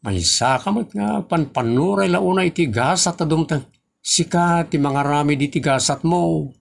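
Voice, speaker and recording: A man's voice: on the high side, normal speed, creaky. A man with an Ilocano Filipino accent acting a teasing, scolding rural father and husband in a comedy audio drama, with an emphatic, preachy way of talking. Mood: scolding, amused.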